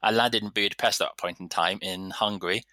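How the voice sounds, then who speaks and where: Bright-voiced man in his thirties. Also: on the low side, steady tone, fairly quick, no echo, studio quality. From London, England. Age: 30s. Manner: easygoing, rambling storyteller. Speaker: vet surgeon guest. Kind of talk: casual interview chat.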